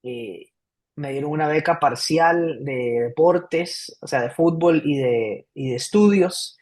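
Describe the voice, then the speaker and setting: A man in his thirties from Costa Rica, a comedian guest telling his life story in a casual, lively interview. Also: a little high, slow pace. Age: 30s.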